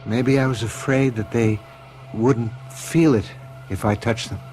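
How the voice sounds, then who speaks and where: A man's voice: medium pitch, fairly even intonation, a little slow, a little mellow, lightly acted. Faintly noisy vintage radio play: husband played by a man, mid-range voice, offhand yet uneasy, slightly hesitant. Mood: troubled. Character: uneasy, wry husband, privately afraid of touching people. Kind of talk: old-fashioned radio drama acting.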